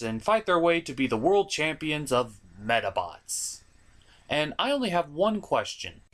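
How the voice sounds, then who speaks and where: A man with a slightly high voice delivers comedic review narration. His pitch swings widely as he exaggerates his points like a theatrical show host, playing up his exasperation. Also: pushed and bright.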